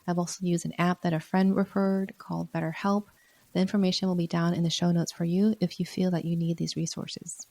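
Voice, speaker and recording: A woman hosts her own podcast in an earnest, encouraging manner, her low voice mellow and a little hoarse from a sore throat. The sound is dry and close, with no room echo. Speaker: podcast host. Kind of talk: solo podcast talk.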